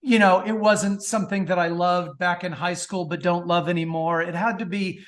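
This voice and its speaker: A man's voice: high-pitched, fairly even intonation, moderate pace. A middle-aged man speaking casually as an interview guest, telling stories with chatty enthusiasm. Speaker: author and film producer, podcast guest. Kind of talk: casual interview talk about music.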